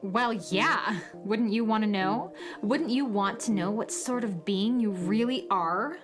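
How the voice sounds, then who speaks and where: A woman's voice: medium pitch, unhurried, over music, natural voice. A woman acting a petulant, tough, cynical girl troublemaker with a sullen, dismissive manner in a children's radio drama. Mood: defensive.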